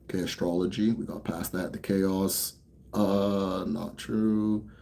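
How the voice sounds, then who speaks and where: A young American man hosting a casual Q&A for viewers. He talks bluntly, swears freely, rambles a bit, then slows down to explain. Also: deep voice, fairly even intonation, creaky, dark tone. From United States. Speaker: host answering viewer questions. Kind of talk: casual livestream Q&A.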